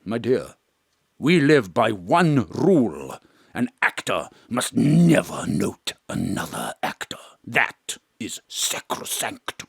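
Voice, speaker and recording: An actor playing a pompous, melodramatic veteran male stage actor who swings between booming declamation and hushed confession, recorded from a home studio. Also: big pitch swings, slow, gravelly, slightly rough, dry recording, full character voice. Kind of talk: live remote audio drama acting.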